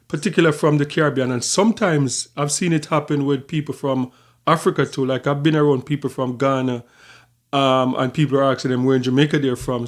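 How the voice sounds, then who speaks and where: A man hosting a reaction video, explaining in a measured, conversational way. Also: medium pitch. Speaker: reaction channel host.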